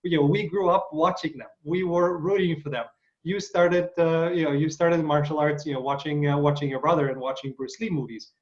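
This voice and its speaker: Eager male interview host, higher-pitched, chatty with fillers, muffled call audio. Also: fairly even intonation, brisk. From Ukraine. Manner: enthusiastic, frequent fillers and restarts. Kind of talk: casual video interview.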